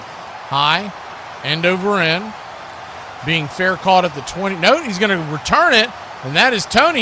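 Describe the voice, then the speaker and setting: A man doing live play-by-play commentary of an American football game as the announcer. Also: high voice. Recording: loud background noise.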